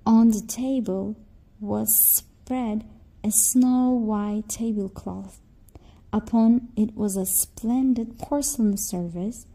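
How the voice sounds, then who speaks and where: A woman's voice: mid-range voice, warm tone. A woman reading a scripted fairy tale to children as a storyteller.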